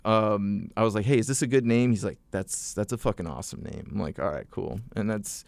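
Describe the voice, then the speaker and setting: A young man talks loosely as a podcast guest, circling back through fillers and restarts. His voice sits fairly low and sounds smooth and clean. The recording is close and dry. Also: fairly quick, dry recording. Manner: relaxed storyteller, many fillers and restarts. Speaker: podcast guest, musician and vocal coach. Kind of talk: casual podcast interview.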